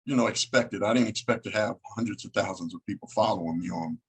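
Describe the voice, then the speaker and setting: A man's voice: lowish voice, fast, slightly rough. A man in his thirties, a podcast guest talking laid-back and casually over a video call, calling people man. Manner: laid-back, says man a lot, occasional swearing. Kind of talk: casual conversation.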